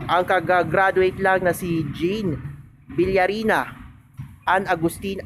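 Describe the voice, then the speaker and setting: High-pitched Filipino man, noisy recording. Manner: polite and respectful. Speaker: video greeter giving a public address. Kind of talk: informal spoken greeting message with shout-outs.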